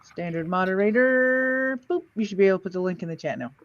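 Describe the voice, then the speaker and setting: A woman's voice: mid-range voice, animated, fast, lo-fi sound. A woman casually hosting a livestream in a playful, chatty way. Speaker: host of an old-time radio heckling stream.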